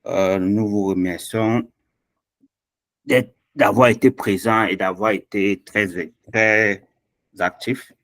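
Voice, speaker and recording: A man's voice: lowish voice, steady tone, slow pace, a bit bright, no room sound, clean studio sound. A man closing an online language class on a video call, speaking hesitantly with many fillers.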